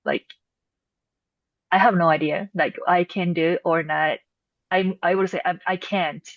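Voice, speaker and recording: A woman's voice: fairly low pitch, fairly even intonation, unhurried, no echo, lo-fi sound. A woman who is a native Japanese speaker, chatting casually in English as an audience member. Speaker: audience member asking questions.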